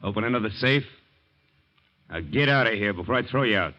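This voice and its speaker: A man's voice: fairly low pitch, expressive, brisk, pushed and bright. An actor playing a bitter, drinking father in a 1940s radio drama, curt and hostile. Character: bitter, drunk, proud father. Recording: muffled 1940s radio recording.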